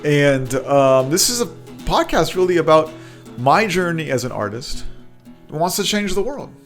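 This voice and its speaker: A man's voice: a little high, big pitch swings, normal speed, faint music behind. A man hosting a motivational podcast, earnest and prone to repeating words and restarting, who also speaks Hebrew. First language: bilingual, speaks Hebrew. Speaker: podcast host.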